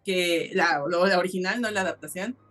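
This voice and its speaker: A woman's voice: on the low side, brisk, fairly bright tone, soft background music. A woman co-hosting a podcast, chatting casually and emphatically as she addresses listeners. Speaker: podcast co-host. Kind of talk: casual opinionated chat.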